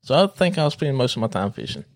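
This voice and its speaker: A man's voice: medium pitch, big pitch swings, fast talker, no echo. A man, co-hosting a podcast with laid-back, wry replies. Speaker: podcast co-host. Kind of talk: casual chat.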